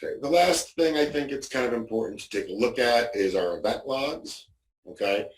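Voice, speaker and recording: A man's voice: mid-range voice, lively intonation, moderate pace. A man giving an informal, explanatory product demo as a walkthrough, often punctuating his points with "okay". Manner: explanatory, frequently checking with "okay". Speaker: product demonstrator. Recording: roomy echo, distant microphone.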